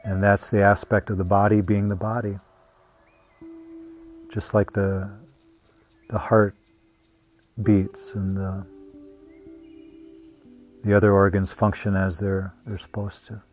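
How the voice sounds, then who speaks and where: A man leading a guided meditation as a teacher, speaking gently and unhurriedly with long pauses. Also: on the low side, completely flat delivery, soft background music. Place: meditation retreat.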